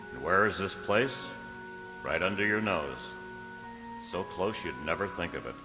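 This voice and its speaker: A man playing a secretive, easily unnerved poet, narrating his diary in a literary, theatrical radio drama style. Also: extremely low pitch. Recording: reverberant radio play with music underneath.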